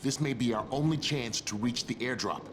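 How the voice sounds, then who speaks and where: A man playing a gruff, no-nonsense survivor in a video game, giving curt, sardonic briefings. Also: medium pitch, moderate pace, slight background noise, natural voice. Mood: businesslike, grim. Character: gruff, no-nonsense male survivor handing out jobs. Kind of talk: video game dialogue acting.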